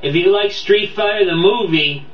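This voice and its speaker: A man's voice: sits high, normal speed. Rambling man in a boxy, echoey room. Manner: rambling, stumbling, repeats words. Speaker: amateur video game movie reviewer. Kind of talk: casual unscripted countdown review. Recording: boxy echoey room, distant dull mic, background audio.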